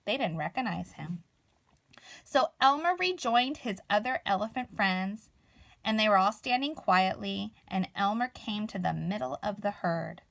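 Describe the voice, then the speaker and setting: A woman reading a children's story aloud expressively, with dramatic pauses and emphasis. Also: medium pitch, expressive, vocal fry, fairly bright tone.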